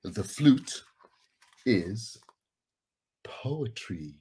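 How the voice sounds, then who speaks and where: A man's voice: on the low side, lively intonation, extremely slow, mellow, a little hiss or hum. A middle-aged man reading picture books aloud to children, chatting casually and encouraging them to join in. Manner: encouraging, inviting listeners to join in. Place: indoors, small home room.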